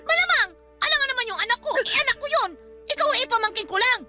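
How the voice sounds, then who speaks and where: Bossy tita in a radio drama, super high n fast, naggy, scolds a lot. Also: female voice, animated, pushed and bright, faint music behind, full character voice. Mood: angry, dismissive. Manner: nagging, indignant, emphatic. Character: scolding, defensive middle-aged aunt, doting mother of a son. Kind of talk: Filipino radio drama acting.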